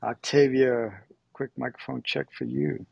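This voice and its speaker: A man from Puerto Rico hosting a casual moderated chat, relaxed and rambling, often correcting himself. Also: medium pitch, unhurried, creaky, lo-fi sound, very noisy. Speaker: host.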